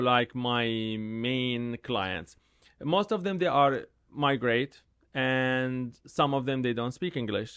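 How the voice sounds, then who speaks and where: A gravelly-voiced man in his thirties whose first language is Arabic speaks in halting, restarting English. He picks up energy when telling a story. His pitch sits in the middle range. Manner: halting, repeats words, animated when telling stories. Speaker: real estate agent, guest. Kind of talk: casual interview talk.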